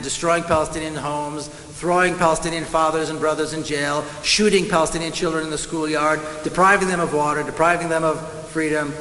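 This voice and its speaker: Man, Israeli accent, middle-aged, emphatic conference speaker, slow deliberate stresses, fairly high voice, long hall reverb. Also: fairly bright tone. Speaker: activist speaker. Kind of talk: conference speech. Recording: echoey hall with faint hiss.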